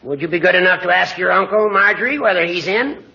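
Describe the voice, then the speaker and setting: A man's voice: high voice, quick pace, vocal fry, sharp tone, heavily acted. An American man playing a pompous, crotchety old judge in a 1940s radio comedy. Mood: stiff, indignant. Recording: muffled, noisy 1940s radio recording.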